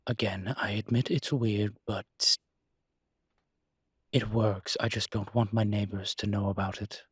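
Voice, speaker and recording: A man playing a weary, guarded winged demon in an intimate roleplay audio drama, speaking in a husky, breathy voice with dry, halting phrasing. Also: lowish voice, steady tone, dry recording.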